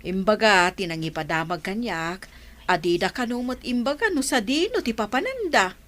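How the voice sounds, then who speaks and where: A woman's voice: mid-range voice, animated, normal speed, a bit bright, lightly acted. A woman playing a concerned, respectful woman talking to an elder in an audio drama, with an Ilocano Filipino accent. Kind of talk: amateur audio drama acting.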